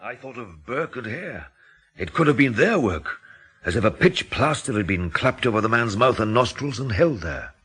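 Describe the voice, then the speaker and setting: An actor playing a sceptical, troubled country doctor with a husky voice and a dry, sober manner in a radio drama. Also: male voice, medium pitch, big pitch swings, normal speed, fairly bright tone. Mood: troubled, questioning.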